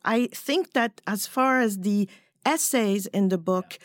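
A woman's voice: medium pitch, no echo, studio quality. A woman who grew up in Switzerland and speaks English as a second language, French being her first, talking thoughtfully as a podcast guest. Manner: thoughtful, measured, with pauses and fillers. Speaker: guest, cookbook writer. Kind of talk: podcast interview.

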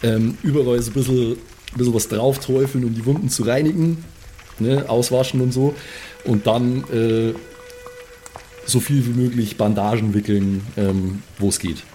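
A man's voice: medium pitch, steady tone, normal speed, dark tone, a little hiss or hum. A man improvising as a player in a casual tabletop roleplaying game, hesitantly groping for words. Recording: music behind the voice. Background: background music.

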